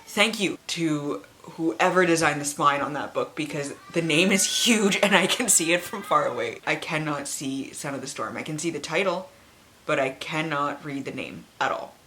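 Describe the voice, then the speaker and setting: A woman's voice: low-pitched, sharp tone, slight background noise. A woman in her late twenties chatting casually as a book vlogger, candid and self-deprecating, rambling and swearing freely. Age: late 20s.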